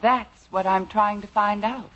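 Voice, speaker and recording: A woman's voice: medium pitch, steady tone, a little slow, muffled recording, natural voice. An actress playing a suspicious, dry-witted wife and mother in a 1950s radio sitcom, questioning and knowing. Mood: dryly knowing. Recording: noisy old radio show recording.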